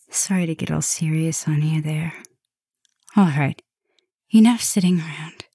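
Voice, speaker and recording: A woman playing a caring, softly dominant vampire professor in an ASMR roleplay, talking gently and intimately to the listener, with breathy drops toward a whisper. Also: sits low, slow pace, long pauses, no echo, clean studio sound, heavily acted. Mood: gentle, caring.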